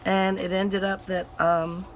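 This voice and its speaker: A woman hosting a crafting channel and chatting casually while she works. Her voice is hoarse and still recovering, and she rambles with many fillers and restarts. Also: mid-range voice, level tone, takes it slowly, slight background noise. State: hoarse, voice recovering from sinus infection.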